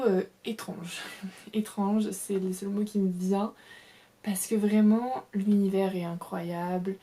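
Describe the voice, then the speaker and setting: A woman's voice: mid-range voice, warm tone. Chatty young French woman, book vlogger. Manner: chatty, enthusiastic, many fillers and restarts. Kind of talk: casual reading vlog chat.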